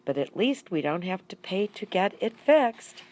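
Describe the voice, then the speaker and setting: A woman's voice: mid-range voice, big pitch swings, a little slow, darkish tone. A woman voicing a kindly, folksy husband and father in a children's story, with emphatic phrasing. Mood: puzzled.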